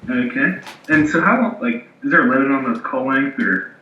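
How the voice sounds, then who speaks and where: A man's voice: mid-range voice, moderate pace, a little hiss or hum. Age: adult. Sex: man. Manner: eager, curious. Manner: eager, asking questions. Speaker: caller. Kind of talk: casual chat. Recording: dull, distant, echoey line.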